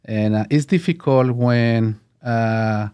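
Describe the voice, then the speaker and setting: Man, 50s, Mexican accent, native Spanish speaker, halting, warm dark voice, interview guest. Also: medium pitch, clean tone. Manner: halting, searching for words in English. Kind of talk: casual interview talk.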